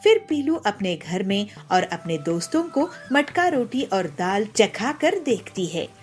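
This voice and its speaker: A woman's voice: mid-range voice, big pitch swings, measured pace, faint music behind. A woman reading scripted narration for children's cartoon stories as the storyteller.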